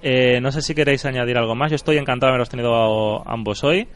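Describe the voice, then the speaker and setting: Male podcast host, rambling and pragmatic, mid pitch. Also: steady tone, very fast. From Andalusia, Spain. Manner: pragmatic, rambling, restarts often. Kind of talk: casual podcast chat.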